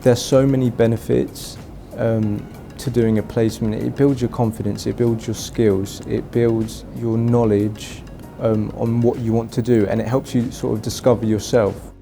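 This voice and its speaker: A man's voice: mid-range voice, flat delivery, normal speed, vocal fry, mellow. A young man from Portugal, a student, giving an earnest interview testimonial. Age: young adult. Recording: music bed under voice.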